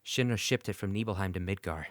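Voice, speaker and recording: A man's voice: low voice, fast talker, no room sound, clean studio sound, lightly acted. A man playing a brooding, haunted young warrior in a fan dub, earnest and intense. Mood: subdued, brooding. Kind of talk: dramatic fan dub acting.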